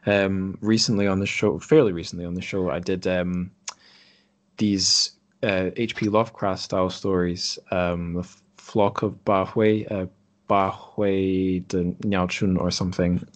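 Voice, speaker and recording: A man hosting a podcast in a casual chat, speaking in a thoughtful, halting way. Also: sits low, fairly even intonation. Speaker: podcast host. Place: remote group call.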